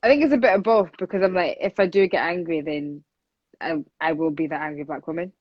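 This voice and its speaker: A loud, earnest woman in her twenties who talks in long, flowing runs on a podcast. The audio is dull and muffled, and the room sounds dry and close. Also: sits low, a little fast, no room sound. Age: 20s. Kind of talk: casual conversation.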